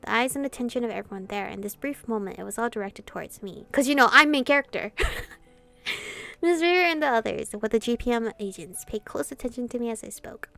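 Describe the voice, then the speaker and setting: A woman's voice: fairly high pitch, animated, fairly quick, gravelly, bright, forceful tone, faint music behind. A woman gaming streamer reading a visual novel aloud, breaking into casual, giggly, chatty commentary between lines. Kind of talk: casual let's-play commentary mixed with read-aloud narration.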